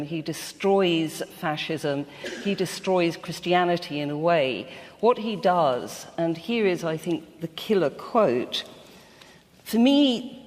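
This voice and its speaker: A woman's voice: fairly low pitch, darkish tone. Woman panelist in an echoey hall. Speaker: panel guest discussing a novel. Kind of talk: literary panel discussion. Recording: echoey hall with long reverb.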